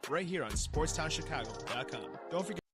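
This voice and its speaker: Fast-talking man over loud music. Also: on the high side, animated. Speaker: sports talk show host. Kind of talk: scripted radio promo.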